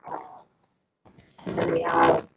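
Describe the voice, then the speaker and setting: A man giving an informal staff update at a board meeting. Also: slow. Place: indoor town board meeting room. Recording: very noisy, echoey room, distant mic.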